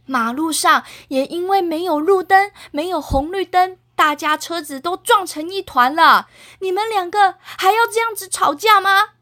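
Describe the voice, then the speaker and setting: A woman's voice: extremely high pitch, moderate pace, pushed and bright, voice pushed up. A woman voicing an old grandmother Earth spirit in a children's story, pleading with two quarrelling heroes.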